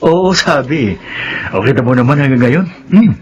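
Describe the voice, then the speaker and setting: An actor plays a jovial, teasing brother-in-law who showers his young sister-in-law with gifts. His voice is a middling male pitch, heard through a dull, muffled old radio broadcast. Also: animated, normal speed, darkish tone, a little hiss or hum, close to an everyday voice. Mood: cheerful. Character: doting brother-in-law Leandro, married man. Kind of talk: radio drama acting.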